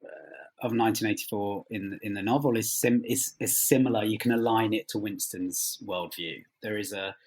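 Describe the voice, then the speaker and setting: A man's voice: fairly low pitch, steady tone, a little mellow, slightly rough. A man answering interview questions as a writer, thoughtful and hesitant, often rephrasing as he goes. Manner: hesitant, halting phrasing with many trailing rephrasings.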